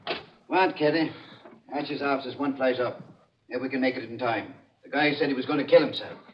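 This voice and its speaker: An actor playing a blunt, slangy police sergeant with a husky voice in a 1940s radio drama. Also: male voice, mid-range voice, a little fast, background noise, lightly acted. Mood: brisk. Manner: blunt, matter-of-fact, clipped. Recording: muffled 1940s radio recording.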